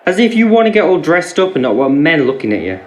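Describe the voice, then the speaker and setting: A man's voice: fairly high pitch, very animated, fast. A man with a British accent playing a controlling, jealous, abusive husband in an audio drama, aggressive and sneering as he interrogates. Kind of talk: naturalistic audio drama acting. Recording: roomy sound, faint background noise.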